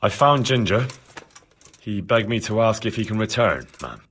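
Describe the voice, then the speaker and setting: An actor playing an earnest, plucky young peasant turned soldier in a video game drama, plain-spoken and reassuring. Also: male voice, on the low side, lively intonation, normal speed, a bit bright, a little hiss or hum. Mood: calm, helpful.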